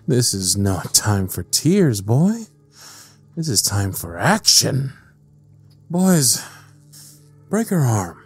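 A man playing a sadistic, mocking evil scientist in an audio drama, with a drawn-out, menacing delivery. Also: mid-range voice, very animated, husky, dry recording, heavily acted. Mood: cold, commanding. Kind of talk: dramatic audio roleplay acting. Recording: music under the voice.

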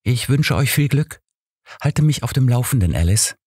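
A man's voice: medium pitch, animated, normal speed, darkish tone, slightly rough, no room sound, studio quality, pitched above the performer's own voice. A man reading a busy, friendly doctor in an audiobook, polite and formal in manner. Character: courteous, busy male doctor, friendly helper.

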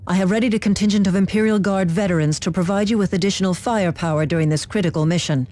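A man's voice: sits high, quick pace, a little mellow, close to an everyday voice. An actor playing a dutiful military aide in a video game, reporting to his commander in a formal, earnest way. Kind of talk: video game mission dialogue.

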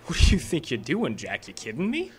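An actor playing a sullen teenage hoodlum in a radio drama, snarling in slangy threats. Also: male voice, on the high side, huge pitch swings, a little fast, faint music behind. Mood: surly, menacing. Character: sullen, surly nineteen-year-old male hoodlum. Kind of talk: old-time radio drama acting.

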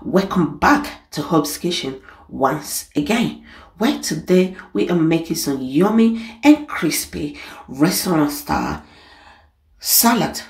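A woman's voice: deep voice, animated, slow pace, echoey room. A woman hosting a home cooking channel, talking casually over her recipe and repeating her points to the viewers. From Nigeria. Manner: chatty, repeats "guys" and "it's a must".

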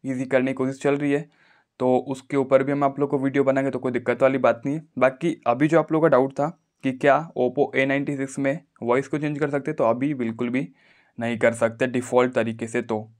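A man giving a casual, explanatory phone tutorial, often pausing to check that viewers follow. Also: medium pitch, flat delivery, darkish tone. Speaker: tech tutorial YouTuber.

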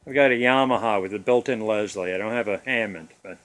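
A man's voice: fairly low pitch, quick pace, a bit bright. Joking bearded man vlogging outdoors. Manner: jokey, self-deprecating, rambling. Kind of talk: casual rambling vlog. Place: outdoors in a suburban front yard. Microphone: phone camera at arm's length.

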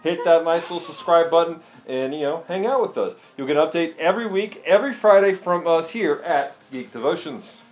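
A man's voice: a little high, brisk, few pauses, soft background music. A man, co-host of a home video channel, giving a laid-back, upbeat sign-off and reminders to subscribe.

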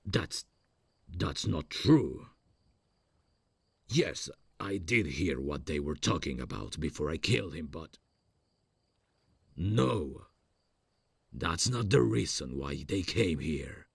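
A man voicing a lovesick, childlike, awkward slasher killer in a horror-parody ASMR roleplay, halting and shy in a gravelly, husky low voice. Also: expressive, dry recording, heavily acted. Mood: halting, pleading. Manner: halting, giggly, shy, slow. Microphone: close dry microphone.

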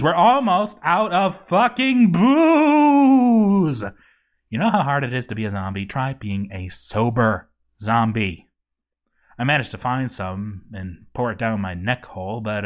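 A man's voice: mid-range voice, lo-fi sound, lightly acted. A man voicing a grouchy, boozy zombie dwarf overseer in a game journal story, stammering and exasperated. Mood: hammy, demanding. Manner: stammering, exasperated, hammy delivery. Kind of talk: dramatic reading of a game journal.

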